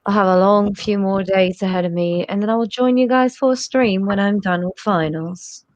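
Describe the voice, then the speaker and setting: A woman's voice: medium pitch, moderate pace, no room sound. A woman with a Massachusetts accent chatting casually on a late-night stream, tired and swearing freely. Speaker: guest. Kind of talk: casual chat.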